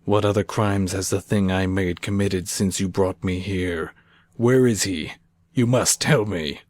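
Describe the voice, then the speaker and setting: Man playing a low-voiced, fervent young scientist. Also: fairly even intonation, a little slow, darkish tone, no room sound, clean studio sound. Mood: anxious. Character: anguished, fervent young male scientist, recovering from madness. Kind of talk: melodramatic old-time radio acting.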